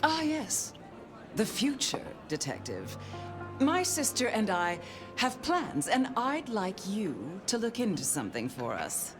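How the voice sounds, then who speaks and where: An actress playing a haughty, condescending vampire crime boss in dramatic, deliberate video game dialogue. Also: female voice, mid-range voice, huge pitch swings, over music. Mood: imperious.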